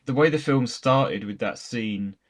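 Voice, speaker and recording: A man's voice: mid-range voice, measured pace. A man talking casually as a panelist on a film review podcast.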